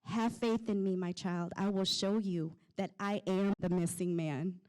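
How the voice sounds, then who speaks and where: A woman's voice: mid-range voice, steady tone, measured pace, a little mellow. A woman performing spoken word poetry with impassioned, dramatic, rhyming rhythm. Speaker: poet.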